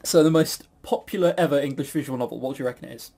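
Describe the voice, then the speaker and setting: A man's voice: medium pitch, rapid-fire, vocal fry, mellow. A man co-hosting a podcast in casual banter, rambling and jokey, making fun of himself and swearing casually. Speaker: podcast co-host and quizmaster.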